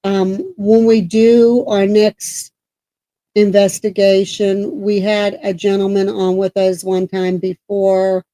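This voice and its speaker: A middle-aged woman with a mellow, warm tone who talks loudly and keeps talking, her voice projecting well. She rambles through restarts as she co-hosts a casual paranormal chat show. The sound is dry and close, with little room around it. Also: medium pitch, level tone, slow, dry recording, low-quality recording. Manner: self-described talker, loud and projecting. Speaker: co-host of a paranormal talk show.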